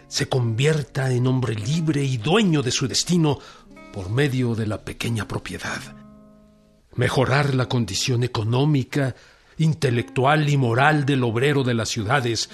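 An actor playing a Mexican revolutionary leader, with solemn, declamatory oratory in a radio drama. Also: male voice, mid-range voice. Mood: fervent. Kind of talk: radio drama historical speech.

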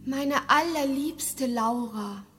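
A woman's voice: sits high, slow pace, over music. An actress playing a composed, affectionate and sweetly evasive young lady in a German radio drama. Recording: long hall reverb.

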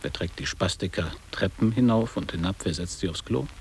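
A disillusioned middle-aged man, once a boarding-school pupil, in a radio drama. His low voice pronounces each thought in a measured, bitter, lecturing way. It rings through a long, hollow reverb with some hiss behind it. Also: a little mellow. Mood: sober, dismissive. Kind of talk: literary radio drama acting. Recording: reverberant echoing hall, noticeable hiss.

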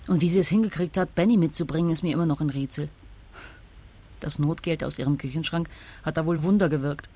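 An actress playing a grieving young mother in a radio drama, speaking intimately and wistfully in a breathy voice. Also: sits low, fast, warm tone. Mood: brighter, excited. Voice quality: husky, breathy. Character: grieving young mother talking to her absent husband.

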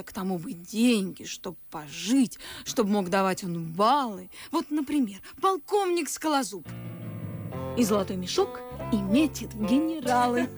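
An actress playing a pert, shrewd young maidservant, chatty and teasing, in a folksy theatrical radio play style. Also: female voice, medium pitch, no echo. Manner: theatrical, folksy, deferential yet cheeky. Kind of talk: radio play acting of classic verse comedy. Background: faint music.

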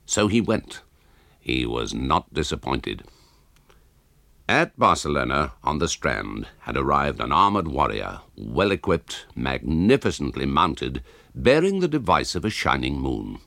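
A man's voice: extremely low pitch, big pitch swings, measured pace, creaky, a bit bright, no echo, studio quality, heavily acted. An actor playing an embittered old author who narrates his tale in a radio drama, wry, scornful and grandiloquent. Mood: wry storytelling.

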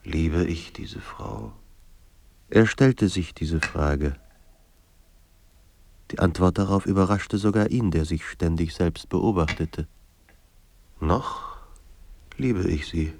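A man performing as a somber narrator of a troubled writer's life in a radio drama, with measured, grave delivery. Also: low voice, gravelly, a little mellow, exaggerated voice. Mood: pensive. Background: music.

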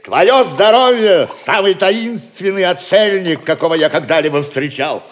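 Male good-humored wandering knight, audio drama. Animated, bold, teasing, courtly delivery. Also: high voice, a little slow. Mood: merry. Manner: wry, courtly, bantering. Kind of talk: theatrical audio drama acting.

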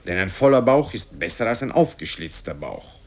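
A man's voice: sits low, big pitch swings, moderate pace, a little mellow, heavily acted. A man voicing a pompous politician who declaims self-righteous accusations with emphasis in a satirical radio story. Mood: accusing, righteous. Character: pompous male politician hurling accusations at his rival.

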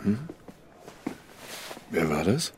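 An actor playing a politely cool, probing police officer in a naturalistic radio drama. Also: male voice, deep voice, very animated, very slow, background noise, heavily acted.

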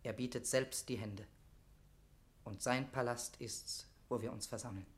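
A male actor as a young nobleman burning with religious zeal and devotion to his queen. His mid-pitched voice declaims stage verse solemnly and passionately. The room around it echoes clearly, as in a radio play. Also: steady tone, unhurried, close to an everyday voice. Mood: urgent, conspiratorial. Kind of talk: classic German verse drama, declaimed radio acting.

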